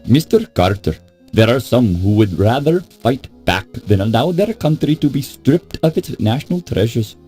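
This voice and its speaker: An actor voicing a formal, polite but insistent Egyptian official in a video game. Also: male voice, medium pitch, big pitch swings, mellow, slightly rough. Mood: offended, veiled threat. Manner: courteous, formal, measured diplomacy. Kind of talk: theatrical video game voice acting. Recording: music under the voice. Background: synthesized game music.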